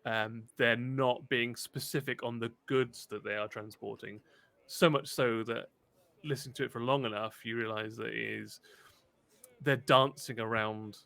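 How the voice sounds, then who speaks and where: A man acting as game master, narrating a tabletop game in a halting, conversational way. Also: mid-range voice, steady tone, vocal fry, bright, forceful tone.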